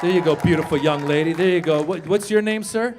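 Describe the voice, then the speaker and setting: A man in his fifties works a comedy club crowd on a handheld mic. His voice sits high for a man, and he talks loud and animated, swearing freely. A faint hum of club noise hangs under the voice. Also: a little fast. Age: 50s. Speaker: stand-up comedian. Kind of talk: stand-up comedy set with crowd work. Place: comedy club stage before a live audience.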